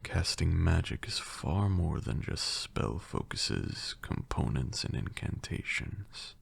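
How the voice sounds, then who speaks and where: A man playing a commanding vampire lord and magic mentor in a roleplay ASMR, with a gravelly voice and a deliberate, dryly sarcastic manner. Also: expressive, heavily acted. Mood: stern, instructive. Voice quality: gravelly, very deep, breathy at times. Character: stern, teasing old male vampire lord, mentor in magic.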